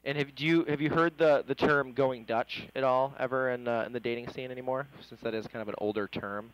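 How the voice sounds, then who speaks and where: An American man with a mid-pitched voice conducts a casual interview. He is informal and chatty, putting questions in a conversational way. The sound is dry, with almost no room. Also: fairly even intonation, brisk, clean tone, no room sound, lo-fi sound. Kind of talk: casual phone interview, reading out reader questions.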